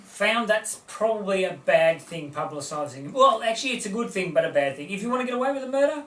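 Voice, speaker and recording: A man with a high voice tells stories in a loose, joking way, chuckling often and dropping dry one-liners. He is set back from the mic, and the room gives off a strong echo. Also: lively intonation, fast talker, fairly bright tone. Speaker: co-host. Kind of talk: casual comedic storytelling. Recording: echoey room, heard from a distance.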